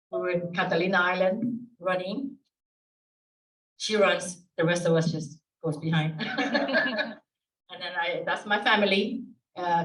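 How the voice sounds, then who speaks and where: A woman in her fifties from Peru, a native Spanish speaker, giving a chatty, informal self-introduction at an association meeting, with many fillers and restarts. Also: on the low side. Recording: echoey room from a distance.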